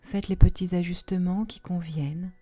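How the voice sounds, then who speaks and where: A woman leading a guided relaxation meditation in a soft, soothing, unhurried manner with long pauses. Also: fairly low pitch, fairly even intonation. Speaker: yoga nidra relaxation guide. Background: soft music.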